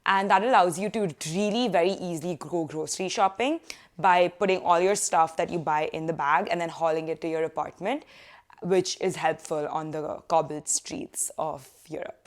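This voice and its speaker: A young woman vlogging casually from a living room sofa, giving student tips in a chatty, animated way. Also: on the low side. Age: young adult. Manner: chatty, animated hand gestures, quick asides. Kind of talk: casual advice vlog.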